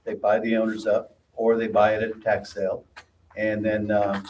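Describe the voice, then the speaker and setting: A man's voice: on the low side, flat delivery, normal speed, a little mellow, slight background noise. A man on an owners' association board, answering residents at a community meeting in a plainspoken, reassuring way. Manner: plainspoken, reassuring, gives practical advice. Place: meeting room. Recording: roomy hall audio from a distant mic.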